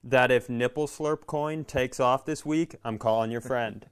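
Smooth-voiced man bantering on a comedy podcast. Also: medium pitch, unhurried. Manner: profane, exasperated storytelling. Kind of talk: casual comic banter and ranting.

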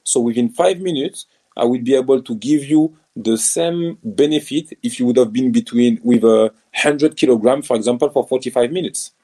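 A French man in his thirties, a former footballer answering interview questions in halting English, often restarting and repeating words. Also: mid-range voice, dark tone, breathy edge. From France. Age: 30s. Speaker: guest, ex-footballer. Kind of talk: casual interview.

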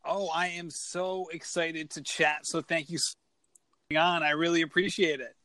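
Upbeat podcast host, higher-pitched, dry. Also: male voice, level tone, moderate pace, pushed and bright, no room sound. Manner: enthusiastic, rambling with restarts. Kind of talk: casual interview chat.

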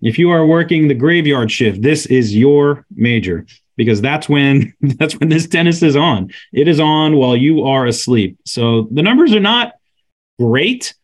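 A man chatting about tennis on a sports podcast in a relaxed, wry way. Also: on the high side, measured pace. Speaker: podcast co-host. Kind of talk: casual sports chat.

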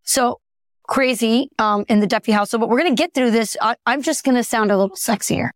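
A woman co-hosting a casual political chat podcast, hoarse and raspy from a cold, lively and quick to laugh. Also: fairly high pitch, dry recording, studio quality. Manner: lively, laughs easily, asks her co-host questions. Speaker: podcast co-host.

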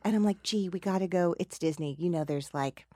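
A middle-aged woman hosting a podcast, telling stories in a chatty, animated way and laughing often, in a studio booth. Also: lowish voice, fairly quick, a little hiss or hum. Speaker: podcast host. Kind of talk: casual chat, anecdote. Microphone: studio microphone.

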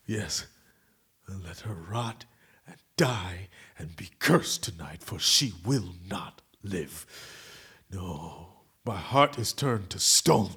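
An actor playing an anguished, jealous general in a Shakespeare drama, husky and breathy, veering between hushed muttering and howling. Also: male voice, low voice, lively intonation, slow, full character voice. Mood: brooding despair. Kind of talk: dramatic stage-style Shakespeare acting.

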